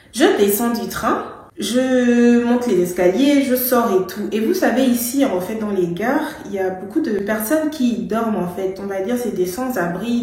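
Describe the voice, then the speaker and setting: A woman's voice: medium pitch, darkish tone. Young woman from Gabon telling a storytime, chatty and full of fillers, in a very echoey room. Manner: chatty, with fillers and some English words. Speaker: vlogger. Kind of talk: casual storytime.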